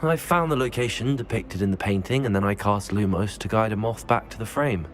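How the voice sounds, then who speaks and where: A man playing a curious, polite teenage wizard in a video game, inquisitive and quietly confident. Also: fairly low pitch, brisk, soft background music, close to an everyday voice. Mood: pleased. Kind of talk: scripted video game dialogue.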